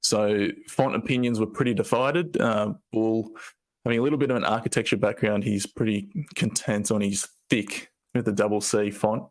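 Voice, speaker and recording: A man with a croaky voice, casually presenting a team update with loose, rambling phrasing. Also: lowish voice, steady tone, normal speed. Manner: loose, rambling, with fillers and restarts.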